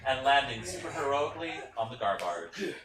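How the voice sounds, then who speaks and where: A man's voice: mid-range voice, big pitch swings, brisk, a crowd in the background. A man reading stage directions as scripted narration for a staged play reading. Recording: echoey room, distant mic, faint noise.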